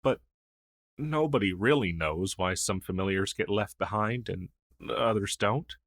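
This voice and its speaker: A man plays a gentle old male crow familiar, a wistful rogue demon in a fantasy ASMR roleplay. His voice is low and he talks very slowly, halting and sighing softly. The sound is dry and intimate. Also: dry recording. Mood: resigned. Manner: hesitant, halting, soft-spoken with sighs.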